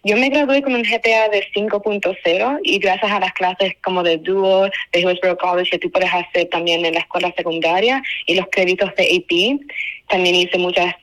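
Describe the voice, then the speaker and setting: A woman's voice: on the low side, fairly even intonation, normal speed, a bit bright, poor audio. A young woman from Spain with a Cuban accent, answering interview questions in an earnest, wordy, self-correcting way. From Spain, raised in a Cuban family. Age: young adult. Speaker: student award winner being interviewed.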